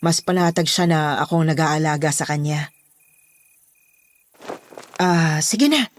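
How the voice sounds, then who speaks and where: A woman's voice: sits low, a little slow, dry recording. A woman with a Filipino accent playing a protective, bossy daughter running a household in a horror audio drama. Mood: matter-of-fact.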